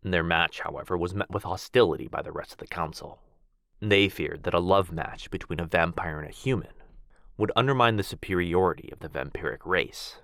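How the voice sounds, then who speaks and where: A man voicing a gentle, courtly male vampire lord in an ASMR boyfriend roleplay. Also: low voice, fairly quick, gravelly, no room sound, clean studio sound. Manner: soft-spoken, courtly and reassuring. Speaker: voice actor.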